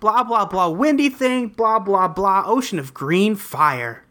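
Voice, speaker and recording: A woman's voice: mid-range voice, animated, a little slow, a bit bright. A woman voicing an earnest, rambling teenage boy in a webcomic dub, breezily recounting his adventures. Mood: rambling, breathless. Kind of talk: character dub reading of a webcomic.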